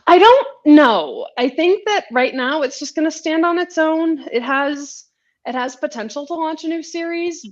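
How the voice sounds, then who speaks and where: A woman author chatting casually in a live interview, telling stories in an animated, giggly way over a video call. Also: high-pitched, moderate pace, dull audio. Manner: chatty, animated storytelling, laughs often. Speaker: romance author being interviewed. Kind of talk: casual live book chat.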